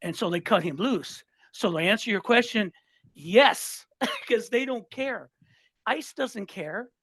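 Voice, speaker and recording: A man's voice: sits high, expressive, slow pace, a bit bright, no room sound. Fired-up guest in his sixties, talks in bursts and restarts, dry close sound. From Southern California. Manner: fired up, repeats and restarts words. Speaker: listener guest. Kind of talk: casual political chat.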